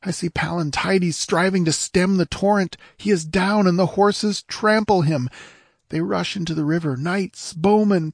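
Male narrator as young squire, high shift+ voice, husky edge, breathless, excitable, theatrical, fantasy audiobook. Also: no echo, clean studio sound. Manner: breathless, declamatory, exclamatory. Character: young squire, frantic excitable lookout at a battle. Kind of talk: dramatic fantasy audiobook character voice.

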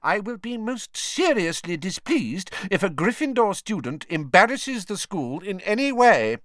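A man's voice: high voice, sing-song, measured pace, a bit bright, no room sound, clean studio sound, voice pushed up, full character voice. A man voicing a stern, prim older female schoolteacher in an audiobook, with a clipped, disapproving manner. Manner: clipped, disapproving, cold and firm.

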